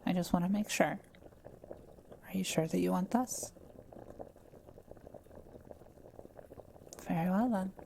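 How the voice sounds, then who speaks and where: A kind forest witch, played by a woman, speaks in a slightly low voice with plenty of vocal fry. She is gentle and patient, explaining like a scholar in an intimate audio roleplay. Faint background noise sits behind her. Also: moderate pace. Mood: careful, earnest.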